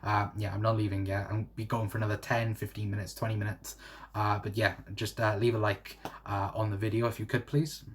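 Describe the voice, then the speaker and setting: A young Welsh man in his twenties hosts a casual livestream and chats loosely through viewer comments. His voice sits a little low. He rambles and fills pauses with "uh" and "to be fair". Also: little pitch movement. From South Wales. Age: 20s. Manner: rambling, many fillers and "to be fair". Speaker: livestream host.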